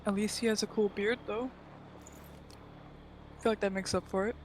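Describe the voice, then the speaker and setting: A man's voice: high voice, fairly even intonation, normal speed, slight background noise. A man chatting casually as a co-player on a game stream, playful and rambling. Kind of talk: casual chat.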